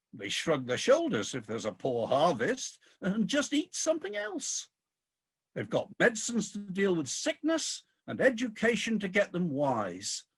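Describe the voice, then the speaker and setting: Guy doing old king of the gods, fed up and grumbly, husky, swoops up and down, radio comedy. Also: sits high, full character voice. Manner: theatrical, grumbling, world-weary. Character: weary, disillusioned ageing male king of the gods. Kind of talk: comic radio play acting.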